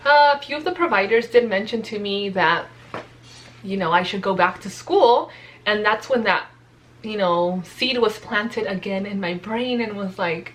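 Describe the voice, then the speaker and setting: Young woman, native Spanish speaker, earnest casual vlogger; chatty, filler-heavy delivery at an ordinary pitch. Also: a bit bright, low-quality recording. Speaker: nurse practitioner student vlogger. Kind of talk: casual vlog to camera.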